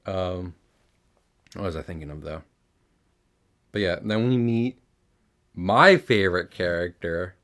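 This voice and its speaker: Low-voiced young man chatting casually. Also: slow pace, pauses often, a bit bright. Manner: rambling, frequent swearing. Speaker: podcast host. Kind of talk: casual chatty recap of an animated series.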